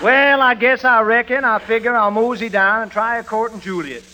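An actor playing a bashful, dim-witted lovesick cowboy with a folksy drawl in a 1940s radio comedy. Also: male voice, extremely high pitch, over a noisy crowd. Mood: folksy, hollering. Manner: slow, rambling, hollering. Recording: old hissy radio recording, audience, music.

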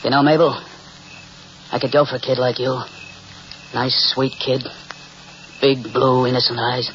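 A man's voice: medium pitch, level tone, measured pace, a bit bright, lightly acted. A man playing a flirty, boastful middle-aged married man in 1940s radio drama, slangy and forward. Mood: amorous. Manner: slangy, smooth-talking, forward. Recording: muffled, noisy old radio recording.

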